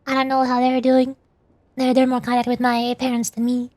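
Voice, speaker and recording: A woman's voice: high voice, completely flat delivery, a little fast, darkish tone, dry recording. A woman streaming as a VTuber, chatting casually in a playful, rambling way during a game livestream.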